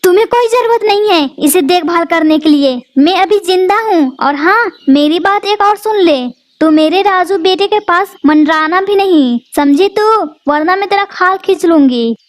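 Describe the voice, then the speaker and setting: A woman's voice: very high-pitched, moderate pace, few pauses. A woman voicing a cruel, spiteful stepmother bird in a cartoon, talking in a harsh, threatening way.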